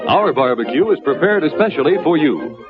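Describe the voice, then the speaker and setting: A man's voice: fairly high pitch, big pitch swings, a little fast. A man narrating a vintage drive-in concession advertisement as announcer. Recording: dull old drive-in promo, music behind.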